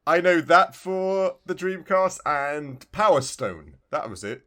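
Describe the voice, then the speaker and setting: A man's voice: on the high side, lively intonation, slow pace, sharp tone. A man co-hosting a podcast, chatting casually about retro video games in a rambling, emphatically repetitive way. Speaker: podcast co-host.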